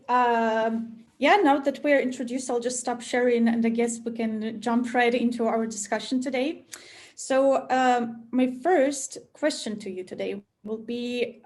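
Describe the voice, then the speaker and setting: A woman's voice: a little high, a little mellow. A woman hosting a webinar discussion, speaking hesitantly with many fillers. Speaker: webinar host.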